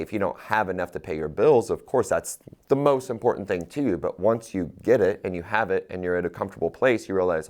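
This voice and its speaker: A man's voice: low-pitched, darkish tone. A man as a guest in a casual interview, speaking reflectively and candidly, laughing at himself now and then.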